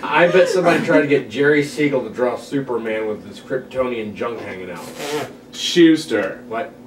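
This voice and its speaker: A man at a comedy table read playing an excitable, teasing comic-book geek with a nerdy, know-it-all manner. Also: medium pitch, normal speed, a crowd in the background, close to an everyday voice. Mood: nerdy, earnest. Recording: echoey room, distant mic, faint noise.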